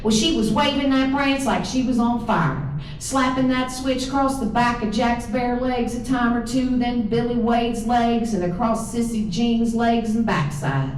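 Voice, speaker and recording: A woman's voice: a little high, a little slow, hardly any pauses. A woman with a Southern US accent giving a live reading of her folksy, drawling first-person stories. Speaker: author reading own stories. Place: live reading in an indoor event room. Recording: echoey room from far off, faint noise.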